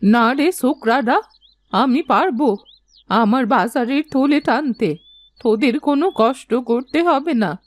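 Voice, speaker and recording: A woman's voice: high voice, animated, slow, no room sound, exaggerated voice. A woman playing a blind, aggrieved old village granny in a children's cartoon, plaintive and scolding. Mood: firm refusal. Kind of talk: Bengali children's cartoon voice acting.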